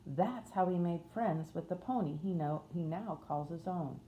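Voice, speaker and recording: A woman's voice: fairly low pitch, moderate pace, mellow, close to an everyday voice. A woman voicing a blunt, kindly young housemaid with a Yorkshire accent in a storybook reading. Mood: encouraging. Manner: plain-spoken, dialect thee and thou. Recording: roomy echo, faint noise.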